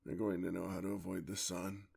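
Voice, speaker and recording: A man playing a weary, tender, courtly male vampire in an ASMR roleplay, with a husky, gravelly voice and formal, old-fashioned speech. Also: sits low, flat delivery, brisk, a little mellow, full character voice.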